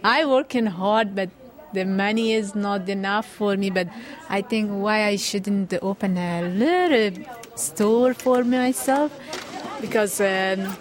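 A woman telling her story in halting English for a radio documentary. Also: mid-range voice. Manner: plain, halting non-native English. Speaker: refugee store owner.

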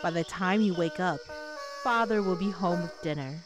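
Woman acting a soothing, gently scolding mother. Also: on the low side, normal speed, loud music behind the voice, close to an everyday voice, low-quality recording. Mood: dismissive, soothing. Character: gentle, dismissive mother of a young girl.

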